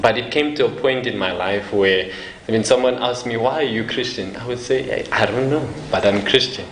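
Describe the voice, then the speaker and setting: A young man from South Africa, an evangelist guest, talking casually in an interview, often laughing softly and restarting his sentences. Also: mid-range voice, normal speed. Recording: echoey room with faint noise.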